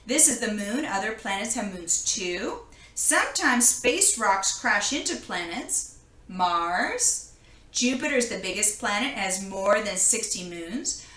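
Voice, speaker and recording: A woman reading a children's science picture book aloud in an explanatory way, pausing to point things out. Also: mid-range voice, very animated, sharp tone. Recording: echoey room.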